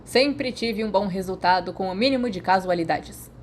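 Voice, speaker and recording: Woman reading as a gruff Brazilian male veteran guard. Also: mid-range voice, moderate pace, a little hiss or hum, close to an everyday voice. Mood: brusque. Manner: brusque. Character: gruff, battle-hardened male werewolf guard. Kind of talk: acted storybook dialogue.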